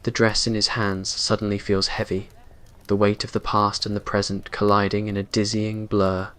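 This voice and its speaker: A man's voice: on the low side, monotone, normal speed, a bit bright. A man reading scripted dramatic narration for a romantic ASMR roleplay in an earnest, brooding way. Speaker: story narrator.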